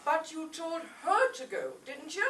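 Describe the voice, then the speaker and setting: A woman's voice: very high-pitched, sing-song, unhurried, fairly bright tone, exaggerated voice. A woman playing a whiny, reluctant young son, a boy scout, in an old-time radio comedy. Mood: whiny, defiant. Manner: whiny, complaining. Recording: echoey stage, distant mic, faint noise.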